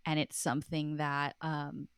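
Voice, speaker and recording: A woman with a noticeably low-pitched voice chats as an interview guest. She tells things informally and with animation. The sound is dry and close, with little room to it. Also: monotone, unhurried, clear, resonant tone, no room sound. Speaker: guest author. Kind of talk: casual conversational interview.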